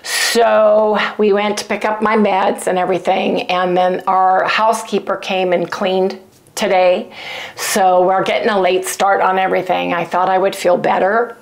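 An older woman with a cold hosting a home cooking vlog, chatting casually to her viewers in a hoarse, throat-clearing voice. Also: medium pitch, level tone, unhurried. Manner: chatty and folksy, addresses viewers as "you guys". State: sick, losing her voice, coughing. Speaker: keto cooking channel host. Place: home kitchen, indoors. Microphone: lapel mic.

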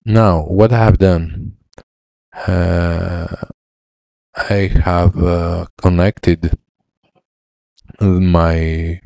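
A man in his thirties with a Greek accent, a repair technician explaining a laptop fix in halting English with many fillers, on a headset mic in his lab. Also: low-pitched, flat delivery, vocal fry, warm tone, no room sound. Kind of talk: hands-on repair tutorial. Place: electronics repair lab, indoors.

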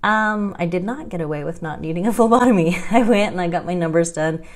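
A woman's voice: lowish voice, brisk. A woman in her thirties talking to a webcam at home, chatty and wry, laughing often as she tells her story. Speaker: vlogger sharing her health journey. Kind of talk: casual talking-head vlog. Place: indoors at home, small room.

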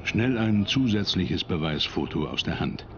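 A man narrating a television documentary in a calm, measured voice-over. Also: low-pitched, muffled recording, noisy background. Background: music.

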